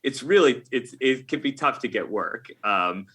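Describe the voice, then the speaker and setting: A man's voice: medium pitch, animated, normal speed, bright, forceful tone, slightly rough. An American man answering as an interview guest in a conversational way, often backing up and rewording his explanations as he goes. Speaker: podcast guest, video game writer.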